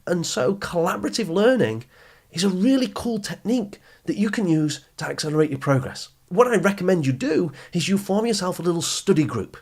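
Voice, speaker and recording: Man, slightly high voice, husky, motivational podcast host, instructive, encouraging, emphatic. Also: animated, fairly quick, a little mellow. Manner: encouraging, instructive, addresses listener directly. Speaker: podcast host and coach for teenagers. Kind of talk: motivational educational podcast monologue.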